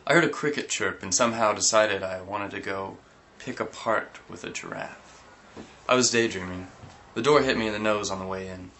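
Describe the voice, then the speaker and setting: A man reading a comic story aloud, animated, breaking into giggles and sudden yelps. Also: fairly low pitch, normal speed, slight background noise. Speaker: reader.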